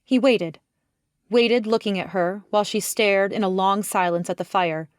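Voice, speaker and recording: A woman's voice: mid-range voice, moderate pace, dry recording, studio quality. A woman reading scripted literary narration as an audiobook narrator.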